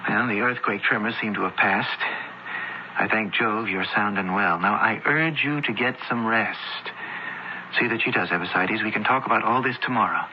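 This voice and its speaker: An actor playing a protective young Roman gentleman in a radio drama, earnest and formal in manner. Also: fairly low pitch, moderate pace, a little hiss or hum, natural voice. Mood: earnest, stern. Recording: muffled radio broadcast with music.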